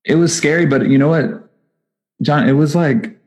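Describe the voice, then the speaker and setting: A man's voice: fairly high pitch, normal speed, a little mellow. A man with a Texas accent, founder of a nonprofit, talking casually and enthusiastically in an interview, with lots of fillers and restarts. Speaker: nonprofit founder, podcast guest.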